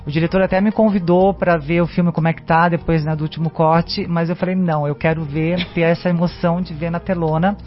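An actress being interviewed as a guest, talking in a chatty, animated way with lots of fillers. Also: female voice, on the low side, fairly quick, few pauses. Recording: dull lo-fi radio sound with faint music.

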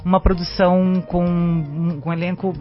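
An actress being interviewed as a guest, talking in a chatty, animated way with lots of fillers. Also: female voice, on the low side, moderate pace. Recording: dull lo-fi radio sound with faint music.

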